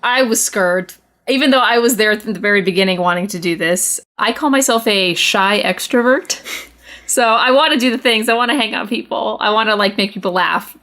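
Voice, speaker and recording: A woman's voice: medium pitch, fairly quick, fairly bright tone. A woman co-hosting a podcast, chatting casually in short, playful, self-mocking phrases. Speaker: podcast co-host. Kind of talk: casual group chat.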